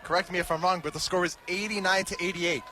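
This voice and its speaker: A man's voice: sits high, steady tone, fast talker, pushed and bright, soft background music. A man giving colour commentary on a live game, reacting in an incredulous, exclamatory way. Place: basketball arena.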